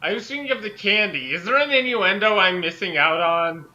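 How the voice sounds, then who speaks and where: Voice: mid-range voice, animated, brisk, sharp tone, highs cut off. A person of mid-range voice chatting casually and hesitantly as a guest author. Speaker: fan fiction author joining the reading. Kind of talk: casual chat.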